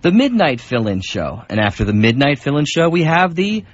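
A man's voice: fairly high pitch, big pitch swings, moderate pace. A man hosting community radio with rambling, jokey, unscripted banter. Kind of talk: casual unscripted co-host banter. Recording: dull lo-fi radio recording.

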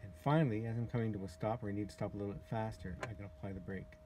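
A man giving a casual, halting walkthrough as a glider pilot, pausing often as he explains, recorded outdoors on a handheld camera. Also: lowish voice, fairly even intonation, warm tone. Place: outdoors in an open glider cockpit in a driveway. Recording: handheld camera recording with clear background noise.

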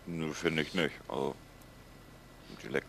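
A man with a northern German accent chatting calmly while co-playing a farming game, explaining things plainly. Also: rumbling low, measured pace, gravelly, slight background noise. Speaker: co-player. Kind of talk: casual gaming chat.

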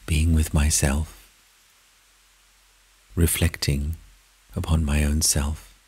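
A man leading a guided meditation, speaking in measured short phrases with long pauses, soft and at times almost whispering. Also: extremely low pitch, little pitch movement, breathy edge.

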